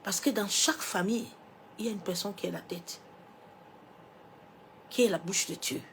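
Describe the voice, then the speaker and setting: A woman pastor with a cold delivers a sermon at a mid-range pitch. Her voice swells into loud, emphatic declarations, then falls away to soft, almost whispered asides. A faint hiss of noise sits under the recording. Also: moderate pace. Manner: preaching with rhetorical asides and interjections. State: has a cold, coughing.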